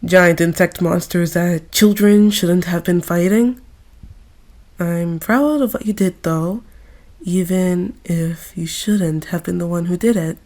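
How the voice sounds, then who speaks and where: A woman voicing a grown former knight who mentors a younger friend, gentle and reflective, in a fan audio drama. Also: lowish voice, slow pace. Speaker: voice actor.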